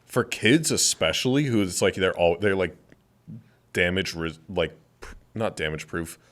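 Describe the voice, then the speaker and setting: A man talking as a podcast guest in rambling, animated casual chat full of fillers. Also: deep voice, measured pace.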